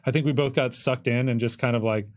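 A man in his thirties acting as game master, narrating a tabletop roleplaying game in a casual, halting way. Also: medium pitch, steady tone. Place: indoor streaming studio with curtain backdrop. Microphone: desk microphone.

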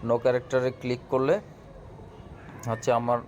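A man's voice: medium pitch, completely flat delivery, normal speed, darkish tone, highs cut off. A man giving a casual step-by-step app tutorial, speaking directly to viewers in an instructional way. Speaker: tutorial host. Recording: clear background noise, room ambience.

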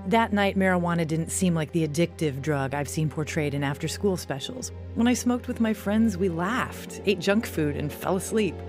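A woman's voice: sits low, natural voice. An actress playing a troubled, searching young woman in an audio drama, earnest and conversational. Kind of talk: audio drama narration and acting.

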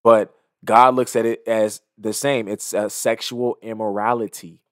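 A man's voice: on the low side, level tone, a little slow, no echo. A young man giving emphatic, moralizing reaction commentary into a close microphone. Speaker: video commentator. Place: small indoor home studio. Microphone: close studio microphone on an arm, headset on.

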